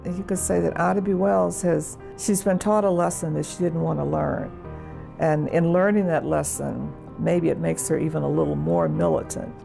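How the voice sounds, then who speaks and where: A woman giving explanatory interview commentary as an expert, telling history like a story in a mellow, creaky voice. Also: low-pitched, steady tone, normal speed, music in the background.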